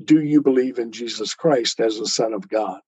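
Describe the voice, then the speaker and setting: A man giving explanations as an interview guest, deliberate, declarative and didactic in manner. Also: mid-range voice, a little mellow, breathy edge, no room sound.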